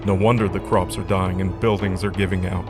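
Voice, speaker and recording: A man's voice: sits low, little pitch movement, brisk. A man narrating a scripted fantasy story as the narrator of an audio drama. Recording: music under the voice.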